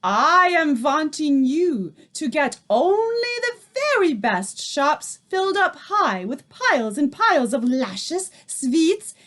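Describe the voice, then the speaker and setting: A woman reading aloud, voicing the tiny Grand High Witch, a furious and commanding female witch leader who shouts and speaks in odd broken grammar. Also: big pitch swings, takes it slowly, hardly any pauses, full character voice. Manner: shouting, shrieking, odd foreign-sounding grammar.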